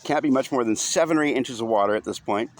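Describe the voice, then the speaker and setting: A man's voice: medium pitch, quick pace. A man narrating a first-person audiobook story in an informal, wry, conversational way.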